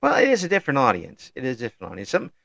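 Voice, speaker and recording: An older man hosting an interview in an easygoing chat, often pausing with ums and restarting his sentences. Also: mid-range voice, wildly swooping pitch, vocal fry, pushed and bright, no room sound. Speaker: interview host.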